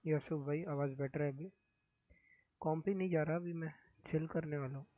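A young man from India live-streaming a game, speaking softly and hoarsely with a sore throat in casual chat. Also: a little high, steady tone, normal speed, dry recording, phone-quality sound. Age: young adult. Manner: speaks softly, mic-checking.

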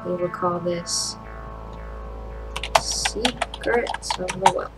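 A young adult woman narrating a software tutorial step by step, in an informal, matter-of-fact way. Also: on the low side, slow. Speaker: tutorial creator and channel host. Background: guitar music.

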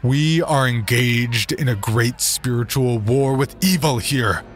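A man voicing a fiery frontier preacher who rants and booms scripture at a crowd. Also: takes it slowly, faint music behind, natural voice. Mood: zealous. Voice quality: booming, ranting. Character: fiery fire-and-brimstone male preacher.

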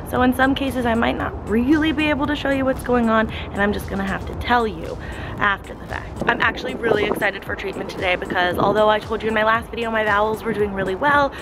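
A woman's voice: fairly high pitch, poor audio. A young woman in her twenties, vlogging casually to a handheld camera, explaining things in a friendly, chatty way. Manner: upbeat and chatty, then quieter and drowsy. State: groggy after treatment. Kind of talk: casual vlog narration. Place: outdoors in a city alley, later a bedroom. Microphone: handheld camera at arm's length.

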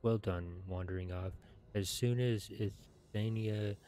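A man in his thirties reading video game quest text aloud in a halting, deliberate way, with some plain commentary. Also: lowish voice, fairly even intonation, dark tone.

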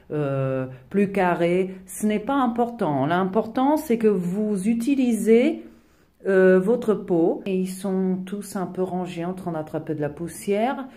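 A woman with a fairly low, warm and mellow voice guides viewers through a home decorating tutorial. Her first language is Portuguese, and she talks in a friendly, unhurried way, often pausing on fillers as she searches for words. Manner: friendly, hesitant with frequent fillers. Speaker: DIY decor vlogger. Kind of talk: tutorial voice-over.

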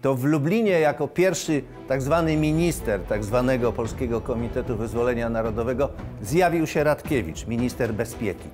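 A man, giving expert documentary commentary emphatically, with dramatic pauses. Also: medium pitch. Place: indoor studio. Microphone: lapel. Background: music.

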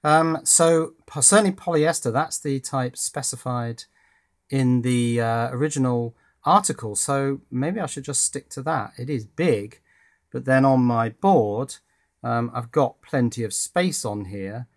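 A man's voice: mid-range voice, unhurried. British man thinking aloud, casual and hesitant. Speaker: electronics hobbyist presenter. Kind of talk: casual hands-on project narration. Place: indoors at a workbench.

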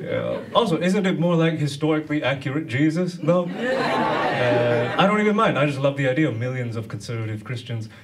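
A man in his thirties from Sri Lanka doing stand-up with a hesitant, deadpan delivery. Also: fairly high pitch, fairly quick, over loud music. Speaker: stand-up comedian. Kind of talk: stand-up comedy monologue. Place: small dark comedy club stage. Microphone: handheld stage microphone. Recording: distant, very noisy live room.

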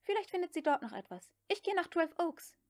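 A woman voicing a headstrong young Southern woman in an audiobook, giving curt, harsh orders in a sharp manner. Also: very high-pitched, big pitch swings, a little fast, pitched above the performer's own voice. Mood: curt, commanding. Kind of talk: audiobook character dialogue.

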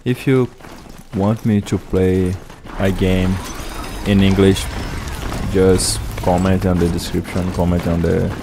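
Deep-voiced man commenting on gameplay. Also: level tone, background noise. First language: Portuguese. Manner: chatty, halting English with restarts. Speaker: gameplay host. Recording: voice-over with loud game music.

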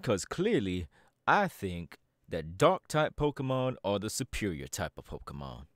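A man's voice: fairly low pitch, sing-song, a little slow, no room sound, clean studio sound. A man playing a cocky young DJ gang boss. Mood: boastful. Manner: boastful, swaggering, talks to the listener. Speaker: Team Star leader in a roleplay. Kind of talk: audio roleplay.

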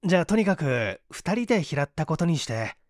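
A man's voice: on the high side, sing-song, a little fast, no echo, studio quality. A man voicing a weary, courteous, flustered young man in a dramatized audiobook, speaking politely and hesitantly. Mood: awkward, polite.

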